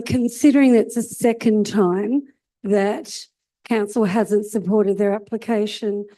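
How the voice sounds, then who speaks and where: A woman's voice: on the high side, warm tone, rough recording. A woman from Australia, a councillor speaking in a council meeting debate, with a groggy voice and an earnest, hesitant manner.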